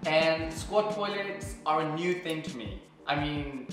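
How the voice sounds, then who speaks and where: A man's voice: fairly high pitch, takes it slowly. A young man from Nepal vlogging casually in his apartment, candid and chatty with lots of fillers. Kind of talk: casual vlog talk to camera. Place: apartment kitchen. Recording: very echoey room with music.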